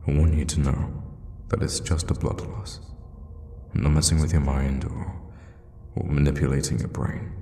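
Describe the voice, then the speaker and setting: Man playing a very deep, gravelly sadistic vampire. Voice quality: very deep, gravelly, breathy half-whisper. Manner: slow, hushed, menacingly tender with pet names. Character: sadistic, teasing male vampire captor, centuries old. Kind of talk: ASMR audio roleplay, intimate monologue. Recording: long reverb, faint background noise.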